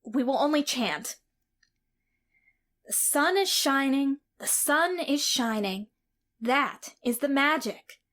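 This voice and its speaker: A woman's voice: high voice, animated, slow pace, long pauses, fairly bright tone, full character voice. A woman voicing a formerly sickly boy, proud, commanding and earnest. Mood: chanting. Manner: imperious, earnest chanting. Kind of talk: amateur audio drama.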